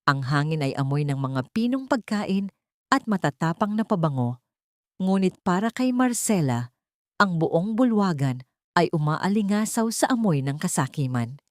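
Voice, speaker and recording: A woman reading a scripted dramatic love story as narrator, in a measured, solemn manner. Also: fairly low pitch, sing-song, no echo, clean studio sound.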